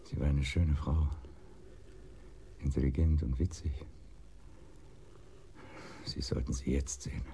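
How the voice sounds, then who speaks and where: An actor playing a timid, bitter betrayed husband in a radio crime drama, speaking haltingly and heavily, with hushed trailing phrases. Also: male voice, rumbling low, fairly even intonation, a little hiss or hum, heavily acted. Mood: bitter, broken. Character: broken, bitter cuckolded husband, timid and brooding.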